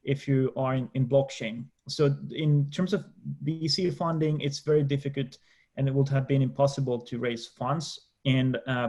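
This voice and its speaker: A young man from Finland with a Finnish accent, speaking as a debate panelist on a video call, often pausing and restarting. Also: mid-range voice, darkish tone. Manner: hesitant, many restarts and fillers.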